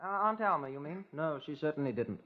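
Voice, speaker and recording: Actor as volatile, lovestruck young British handyman; higher-pitched, earnest, dramatic, on a dull vintage radio recording. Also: animated, fairly quick, close to an everyday voice. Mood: sullen, scornful. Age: young adult. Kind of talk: 1950s radio crime drama acting. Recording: muffled old radio broadcast recording.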